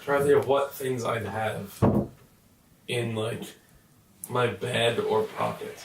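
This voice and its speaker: Casting: male game player, mid-range voice, casual halting talk, roomy distant recording. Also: mid-range voice, fairly even intonation. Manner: hesitant, thinking aloud. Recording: echoey, distant mic, faint noise.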